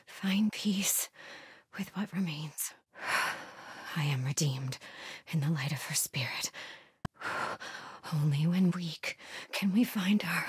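Game role: solemn female cult believer, very breathy and husky, slow, low, prayerful. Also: no echo, heavily acted. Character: devout, solemn female cultist soldier. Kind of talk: video game voice lines.

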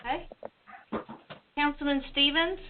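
High-voiced woman calling roll by phone. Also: steady tone, a little slow. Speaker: clerk calling a roll-call vote. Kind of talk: formal roll call. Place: conference call. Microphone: telephone. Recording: tinny phone line with faint noise.

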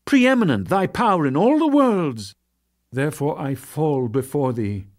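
A man's voice: fairly high pitch, very animated, darkish tone. Man acting an awestruck warrior, soaring voice. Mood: pleading, reverent. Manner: fervent, declamatory with frequent dramatic pauses. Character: awestruck young male warrior, reverent, pleading and trembling.

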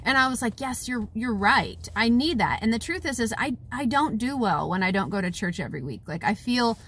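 Woman in her 40s, phone vlog from her car, tired, earnest, rambling, slightly high voice. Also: animated, fairly quick, a bit bright, husky. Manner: rambling, heartfelt, many restarts and fillers. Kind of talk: casual talk to camera. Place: parked car interior. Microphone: phone at arm's length.

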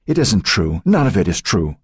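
A man's voice: low voice, very animated, normal speed, breathy edge, no echo, studio quality, heavily acted. A man voicing a tormented, panicked woman who protests and pleads desperately in an audiobook. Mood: desperate denial. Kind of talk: audiobook character voice.

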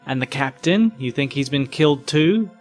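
A man's voice: mid-range voice, lively intonation, normal speed, soft background music, natural voice. A man playing a sceptical, reasoning spaceship crewman in an audio drama, questioning and matter-of-fact.

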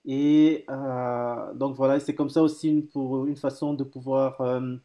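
A man's voice: medium pitch, little pitch movement, measured pace, mellow. A man in his thirties hosting a live video call, cheerful and hesitant, stumbling over repeated words and fillers. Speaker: host. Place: home office room.

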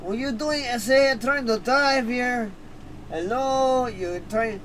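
A man's voice: extremely high pitch, slow, a bit bright, slight background noise, full character voice, low-quality recording. A man improvising a hapless, dim stabbing victim who protests in a whiny drawl with a put-on Mexican accent. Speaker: co-player. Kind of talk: improvised comedy over gameplay.

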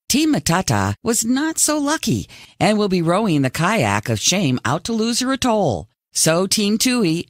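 A man's voice: on the high side, no room sound, studio quality. A man playing an upbeat reality competition host in a video game, announcing with dramatic, tongue-in-cheek pomp. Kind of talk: scripted TV announcer narration.

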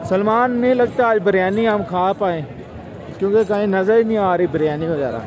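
A young man talks into a phone held at arm's length as he strolls a night market. His voice sits a little high and chatty. A thick crowd hum fills the street behind him. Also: normal speed. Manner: chatty, calls out to his friend while walking. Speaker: vlogger. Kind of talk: casual walking vlog. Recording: busy crowd noise behind. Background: crowd chatter and traffic.

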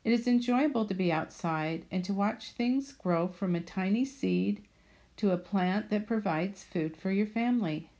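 A woman narrating a voice-over about her home garden in a steady, plainly read manner. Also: medium pitch, measured pace. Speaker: budget vlogger.

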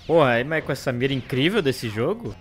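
Brazilian man, gameplay host, lively varied pitch, excitable, exasperated, sweary, game music underneath. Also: brisk. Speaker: gaming video host. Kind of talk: casual gameplay commentary.

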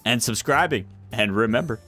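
Male gaming host, casual commentary, fairly high voice, music underneath. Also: animated, normal speed, sharp tone. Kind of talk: casual gameplay commentary with friends.

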